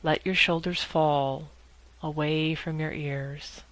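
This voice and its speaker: A woman guiding a meditation in a measured, instructional way, speaking in short phrases with pauses between them. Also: low-pitched, steady tone, a bit bright, no room sound, poor audio. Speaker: guided meditation leader. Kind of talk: guided meditation narration.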